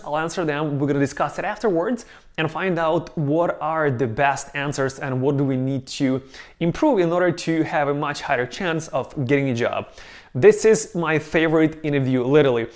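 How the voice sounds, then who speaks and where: A man, hosting a casual interview discussion over a video call, upbeat and energetic. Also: fairly high pitch. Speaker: host, bootcamp founder.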